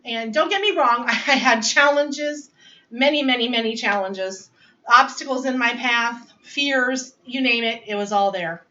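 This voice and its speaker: A woman's voice: fairly high pitch, measured pace, bright, forceful tone, far from the mic, lo-fi sound. A woman in her forties telling her story of going back to school in a casual, chatty way with frequent laughs. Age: 40s. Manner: chatty, laughs often, restarts phrases. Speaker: student giving a speech. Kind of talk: personal narrative speech. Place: home dining area.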